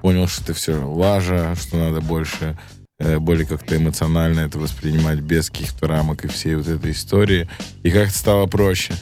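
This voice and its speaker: Deep male voice, around thirty, casual slangy interview talk, reflective and hesitant, music behind. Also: steady tone, a little fast, darkish tone. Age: about 30. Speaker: rapper being interviewed.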